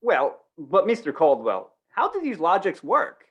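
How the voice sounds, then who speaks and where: A man's voice: high-pitched, wildly swooping pitch, a little slow, slightly rough, dull audio, heavily acted. A man playing a meek, hesitant, apologetic father and small shopkeeper in an old-time radio comedy, polite and flustered. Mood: curious.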